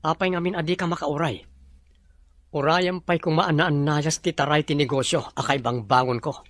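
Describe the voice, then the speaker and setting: A man's voice: a little high, fairly even intonation, moderate pace, fairly bright tone, dry recording, natural voice. A man acting an evasive, complaining employer and fiancé in a radio drama. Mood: defensive.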